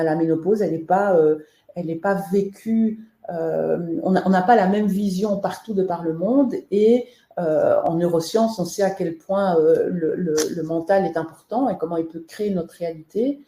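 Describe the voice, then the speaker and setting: A woman's voice: fairly low pitch, warm tone, roomy sound. A woman from Belgium, the guest author in a webinar interview, talking in a hesitant way with many fillers and restarts.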